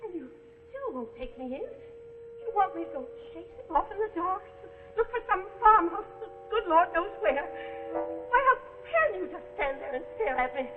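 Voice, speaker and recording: A thin 1940s television soundtrack with music behind. a desperate lone stranger, frantic and excitable, pleading in old thriller style. The voice is extremely high. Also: female voice, normal speed, big-room sound, phone-quality sound. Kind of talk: 1940s live television suspense acting.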